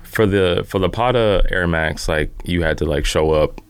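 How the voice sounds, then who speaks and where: A man speaking as a podcast guest in relaxed, informal conversation. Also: sits low, normal speed, clear, resonant tone, no echo. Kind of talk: casual podcast chat. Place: in studio.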